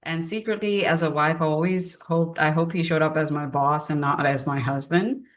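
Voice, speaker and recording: A woman's voice: deep voice, steady tone, moderate pace. A woman in her forties hosting a podcast, introducing her guest admiringly with some fillers. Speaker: podcast host.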